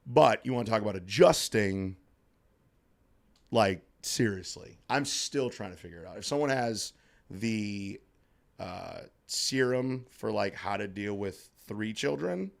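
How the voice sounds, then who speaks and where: A man co-hosting a podcast in casual chat, his voice scratchy and hoarse from allergies, upbeat and joking with lots of fillers. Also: on the low side, expressive, measured pace. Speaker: podcast co-host. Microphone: studio microphone.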